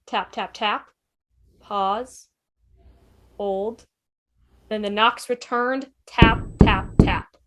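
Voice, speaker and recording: A woman's voice: medium pitch, fairly even intonation, very slow, pauses often. A woman giving dramatic, punchy scripted narration at an amateur table read, voicing sound effects as plain words. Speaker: narrator reading stage directions.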